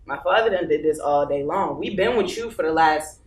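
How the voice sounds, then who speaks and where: A woman's voice: sits low, animated, a little fast. A young woman chatting casually with friends, talking emphatically and telling stories. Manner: emphatic and talkative, storytelling. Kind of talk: casual group chat. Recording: roomy room, distant mic, faint noise.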